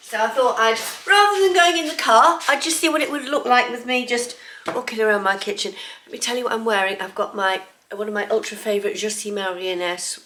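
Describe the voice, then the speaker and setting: A woman's voice: mid-range voice, a bit bright. A woman with a cold, chatting casually in her kitchen for a sewing vlog, rambling with lots of ums. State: bunged up with a cold. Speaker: sewing vlogger. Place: home kitchen. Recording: echoey kitchen with faint background noise.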